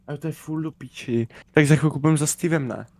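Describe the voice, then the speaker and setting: A man's voice: on the high side, fast, a little mellow, no room sound. A man from the Czech Republic with a Czech accent, chatting casually in online game voice chat.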